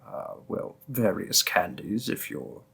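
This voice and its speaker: A man playing a gentle, affectionate demon boyfriend in an intimate comfort roleplay, tender and soothing, breathy in places. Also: fairly low pitch, big pitch swings, slow, full character voice. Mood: playful, coaxing.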